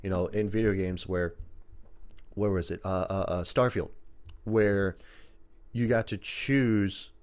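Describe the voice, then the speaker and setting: A man's voice: deep voice, steady tone, takes it slowly, long pauses, a little mellow. A man co-hosting a podcast, chatting casually about games and movies in a rambling, emphatic, sometimes sweary way. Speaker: podcast co-host.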